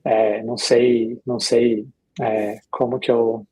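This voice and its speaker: A middle-aged man with a Brazilian Portuguese accent and a slightly rough, husky voice. He speaks at a middle pitch as an interview guest, telling his story casually and reflectively, often pausing to find the next phrase. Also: flat delivery, dark tone, rough recording. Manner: reflective, hesitant with pauses and repetitions.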